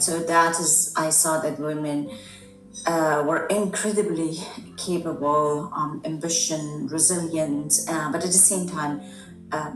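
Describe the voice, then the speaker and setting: A middle-aged woman from Afghanistan, a native Dari speaker, answering interview questions in a thoughtful way with many pauses and fillers. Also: low-pitched. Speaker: guest. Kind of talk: conversational interview. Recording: echoey room, distant mic, music behind.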